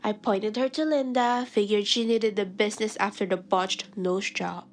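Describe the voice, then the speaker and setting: An actress playing a streetwise, deadpan, world-weary lounge regular in a comedic audio drama. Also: female voice, mid-range voice, normal speed, lightly acted. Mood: cagey, dry. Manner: deadpan, sassy.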